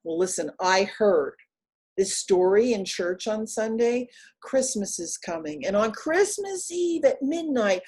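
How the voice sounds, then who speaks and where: A woman's voice: medium pitch, animated, measured pace, a little mellow, lightly acted. A scheming, greedy younger brother from a folktale, as a woman storyteller plays him. He talks in a bossy, emphatic way, like a landlord laying down terms. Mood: eager, scheming.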